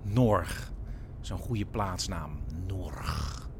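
Dry, teasing grown son. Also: male voice, deep voice, very slow, a little hiss or hum, heavily acted. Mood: mocking. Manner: deadpan, ironic. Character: dry, teasing adult son of bickering family. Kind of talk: audio drama acting.

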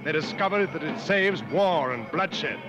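World-weary safari leader, terse, fairly high-pitched, old radio drama, background music. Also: male voice, animated, measured pace, dull audio. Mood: grim. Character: stoic, gruff male safari guide and hunter. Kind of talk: 1950s radio drama acting.

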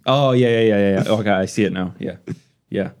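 A man's voice: lowish voice, brisk, a little mellow, clear, resonant tone. A man chatting casually on a podcast, blunt and profane, with a joking tone.

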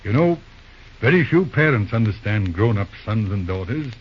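An actor playing a patient, shrewd older bachelor lawyer uncle in an old-time radio drama, reasoning in an avuncular, hemming and hawing way. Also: male voice, on the low side, expressive, moderate pace, breathy edge. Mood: wry, prodding. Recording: muffled old radio show recording.

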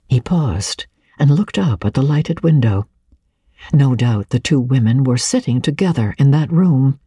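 A woman narrating classic literature as a dramatic reading, with measured, expressive and deliberate phrasing. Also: a bass voice, slightly rough, dry recording, clean studio sound. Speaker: audiobook narrator.

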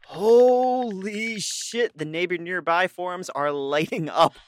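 A man's voice: sits high, slow, no echo. A man playing an enthusiastic, nerdy young ghost hunter in a casual audio drama scene. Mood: excited.

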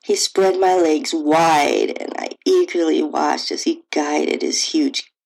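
A woman narrating a first-person erotic story in a breathy, sultry manner that drops into near-whispers. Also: deep voice, slow pace, darkish tone. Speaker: podcast host and author reading own story.

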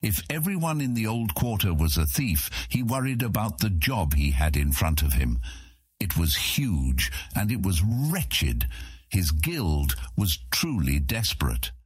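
Very deep, husky male narrator. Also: big pitch swings, measured pace, no room sound, studio quality. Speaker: audiobook narrator. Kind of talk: scripted fantasy narration.

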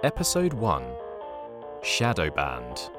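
Male narrator, lowish voice, very varied delivery, wry and dramatic, audio drama with music underneath. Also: wildly swooping pitch, takes it slowly, heavily acted.

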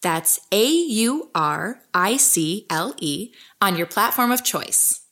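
An actress playing an investigative podcast host, delivering scripted documentary narration. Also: female voice, mid-range voice, animated, slow pace, fairly bright tone. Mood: friendly, promotional. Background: music.

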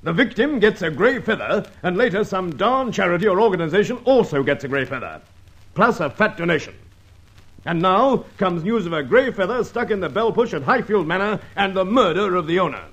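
A man playing a gruff, irritable police superintendent in an old radio drama, blustering and exasperated. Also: sits high, animated, fairly quick, fairly bright tone, dull audio, exaggerated voice. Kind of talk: 1940s radio acting.

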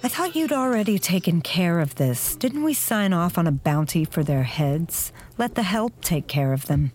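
A low, sardonic crime magnate. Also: female voice, big pitch swings, normal speed, over music. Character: dry, disdainful female criminal magnate. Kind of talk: audio drama acting.

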